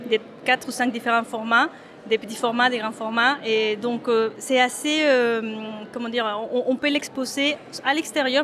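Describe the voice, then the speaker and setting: A hesitant woman from Mexico with a somewhat high voice answers an interviewer's questions about her work. She restarts her sentences often and fills pauses with "uh" as she searches for words. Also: a bit bright. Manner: hesitant, many fillers and restarts. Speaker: photographer. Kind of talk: interview at an exhibition opening.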